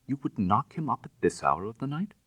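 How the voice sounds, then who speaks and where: A man voicing an anxious, earnest young gentleman accomplice in an audiobook, with agitated, formal, old-fashioned phrasing. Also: medium pitch, sing-song, a little fast, no echo, voice pushed up. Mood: startled, anxious. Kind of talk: dramatised audiobook reading of classic fiction.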